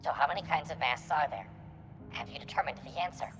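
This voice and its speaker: A man's voice: fairly high pitch, fast talker, noisy background, lightly acted. A man voicing a cheerful, formal female quiz tour guide in a game, in a ceremonious announcing manner. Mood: formal, questioning.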